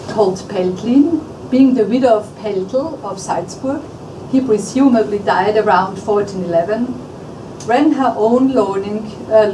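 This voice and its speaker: A woman historian reading a prepared academic paper aloud at a lecture, measured and formal in her delivery. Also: medium pitch. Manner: measured and formal, with occasional restarts. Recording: echoey hall, distant mic, audible room noise.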